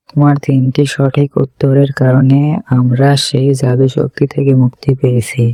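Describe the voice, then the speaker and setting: A man's voice: mid-range voice, dark tone, no room sound, lightly acted, poor audio. A man voicing a gracious young prince who speaks earnestly and courteously.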